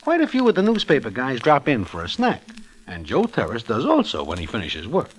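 An actor playing a streetwise Broadway narrator in a 1940s radio drama, telling the tale in slangy, roundabout deadpan. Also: male voice, mid-range voice, fairly quick. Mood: wry. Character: wry Broadway storyteller man, streetwise. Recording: old radio broadcast with music.

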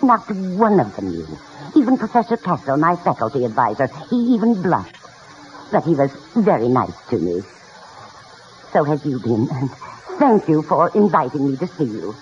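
A spry, witty elderly lady in a vintage radio comedy. She is wry and forthright, with highly animated intonation. The old broadcast sounds muffled, with faint noise. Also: normal speed. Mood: wry, appreciative. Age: older. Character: spry, witty elderly woman college freshman. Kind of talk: 1940s-50s radio comedy acting.

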